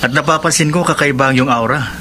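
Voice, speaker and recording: A man's voice: medium pitch, quick pace, close to an everyday voice. A male actor with a Filipino accent playing a nosy, teasing young buddy in a radio drama. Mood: teasing, curious. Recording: loud background ambience noise.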